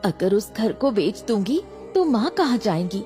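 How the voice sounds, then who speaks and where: A woman playing a caring, remorseful young mother in a cartoon horror story, with an earnest, gentle, emotional delivery. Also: medium pitch, big pitch swings, moderate pace, close to an everyday voice. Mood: puzzled, defensive. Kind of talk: animated horror story acting. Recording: background music.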